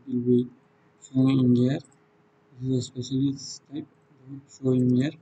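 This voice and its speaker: A man with a South Indian accent and a husky voice, giving an unscripted software walkthrough in halting, non-fluent phrases. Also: mid-range voice, monotone, dull audio. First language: Tamil. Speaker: software demonstrator. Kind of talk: unscripted screen-recorded tutorial walkthrough.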